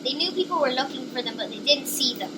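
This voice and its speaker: A woman's voice: high voice, lively intonation, fast. A woman reading a scripted story as narrator. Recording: noisy amateur home recording.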